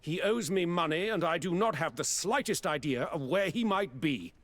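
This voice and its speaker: An actor playing an evasive, grudging merchant in a video game, defensive and brusque in manner. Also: male voice, fairly high pitch, lively intonation, normal speed, a bit bright. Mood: defensive, irritated. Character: evasive, grudging male merchant client owed money.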